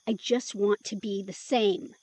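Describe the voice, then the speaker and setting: A woman's voice: mid-range voice, steady tone, unhurried, a little mellow, no room sound, natural voice. A woman playing an eager, playful, stubborn young woman in an intimate audio drama, perky and insistent. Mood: stubborn, earnest.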